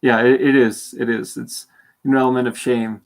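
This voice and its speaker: A man's voice: mid-range voice, level tone, normal speed. A young man from Canada in his late teens, with a sore throat and half asleep, rambling through casual livestream chat and correcting himself as he goes. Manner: rambling, self-deprecating, many restarts. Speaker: streamer.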